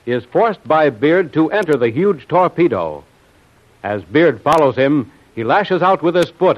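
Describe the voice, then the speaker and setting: A man narrating a 1940s radio adventure serial in a dramatic, declamatory announcer's style. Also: a little high. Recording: muffled old radio broadcast, music behind.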